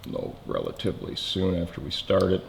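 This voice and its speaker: A man with a fairly low voice walks through a mechanical job step by step in a casual, explanatory way. The room rings with long reverb, and metallic clinks and clatter are clearly heard around his speech. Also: sing-song, brisk, slight background noise. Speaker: instructor, motorcycle mechanic demonstrating an installation. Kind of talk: hands-on how-to tutorial, talking while working. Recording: reverberant workshop with loud tool clatter.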